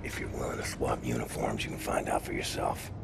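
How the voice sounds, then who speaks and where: An actor playing a grim, determined resistance soldier in a video game, speaking in a terse, breathy, gravelly murmur. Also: male voice, level tone, brisk, very noisy, exaggerated voice. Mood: low, urgent. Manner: terse, low, brooding. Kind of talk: video game dialogue and inner monologue.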